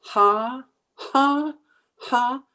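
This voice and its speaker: Woman doing a defensive sitcom housewife, kinda high voice, huffy n flustered, old radio comedy style. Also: extremely slow, pushed and bright, full character voice. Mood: indignant. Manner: indignant, flustered theatrical delivery. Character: proud, defensive housewife bluffing about her cooking.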